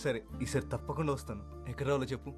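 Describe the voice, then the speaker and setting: A man's voice: medium pitch, rapid-fire, lightly acted. An actor playing an easygoing young city man in a film, coaxing and reassuring. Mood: teasing, coaxing. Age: young adult. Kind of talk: naturalistic film acting. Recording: music bed under film dialogue.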